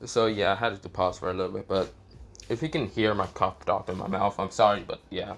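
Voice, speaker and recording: A man who is sick and coughing, telling a fan fiction story in a rambling, halting way, often restarting with "this is where, well". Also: lowish voice, little pitch movement, fairly bright tone, slight background noise.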